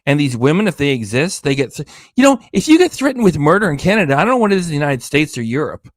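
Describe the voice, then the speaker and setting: A man's voice: fairly high pitch, very animated, rapid-fire, no echo, clean studio sound. Tired, indignant man ranting sarcastically. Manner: sarcastic, indignant, swears often. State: tired, losing his voice. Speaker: reaction channel host. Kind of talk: ranting livestream commentary.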